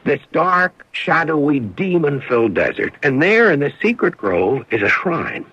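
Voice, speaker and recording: An actor playing an eager pitchman who spins romantic tales theatrically in a radio drama. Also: very animated, a little slow, pushed and bright, husky, no room sound, full character voice. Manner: theatrical, enthusiastic. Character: eager pitchman spinning a romantic exotic tale. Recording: dull lo-fi old radio broadcast.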